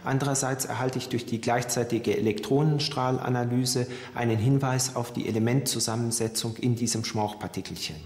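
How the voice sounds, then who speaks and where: A man's voice: medium pitch, fairly even intonation, a little fast, few pauses, darkish tone. A male forensic expert with speech explains technical matters in formal, precise wording. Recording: echoey room with long reverb.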